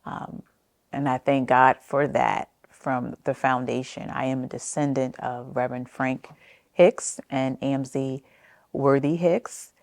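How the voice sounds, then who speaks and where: An American woman from New Jersey telling personal family history for a documentary, speaking in a reflective, halting way with frequent pauses. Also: extremely low pitch, steady tone, a little mellow, no echo, studio quality. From New Jersey, United States. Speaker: interviewee sharing family history.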